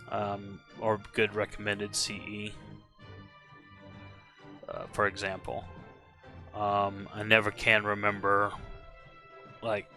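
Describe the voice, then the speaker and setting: Man, casual gaming commentary, rambling, slightly hoarse, bright tone, faint music behind. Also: on the low side. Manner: rambling, self-correcting. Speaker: game streamer. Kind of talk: casual live-stream commentary.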